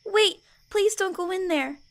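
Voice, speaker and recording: A woman's voice: very high voice, measured pace. A woman voicing a timid, frightened little pony filly in a small, childlike voice, pleading and hesitant in a fantasy audio story. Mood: pleading, worried.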